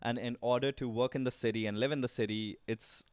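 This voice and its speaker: A young man with an Indian English accent, co-hosting a casual podcast chat, fumbling and restarting his sentences often with quick laughs. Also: medium pitch, steady tone, no echo, studio quality. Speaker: podcast co-host.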